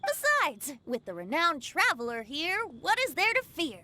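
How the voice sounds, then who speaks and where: A woman's voice: high voice, wildly swooping pitch, moderate pace, noisy background. A woman voicing a playful, youthful boy bard in a video game, with a theatrical, lilting, charming delivery. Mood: encouraging. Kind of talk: animated game dialogue acting.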